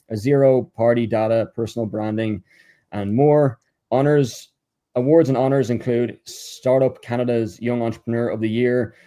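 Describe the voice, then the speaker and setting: Guy hosting a podcast, relaxed dark voice, lots of uhs and restarts. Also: medium pitch. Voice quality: hesitant, many fillers and restarts. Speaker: podcast host. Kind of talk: casual interview, reading an intro.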